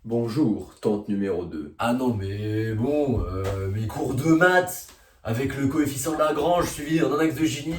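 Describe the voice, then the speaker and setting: A young man giving a loud, sarcastic comic monologue full of slang and fillers. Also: mid-range voice, a little slow, a little hiss or hum. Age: young adult. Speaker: video creator. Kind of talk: comedic monologue vlog. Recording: roomy echo, a little far from the mic.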